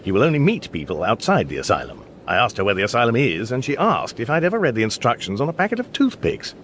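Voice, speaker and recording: A baffled polite english bloke in a radio comedy, voice goes up and down loads, dry and hesitant, bit of background noise. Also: male voice, mid-range voice, huge pitch swings, quick pace, a bit bright. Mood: bemused, rueful. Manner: hesitant, bemused, dryly understated. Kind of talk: radio comedy drama acting.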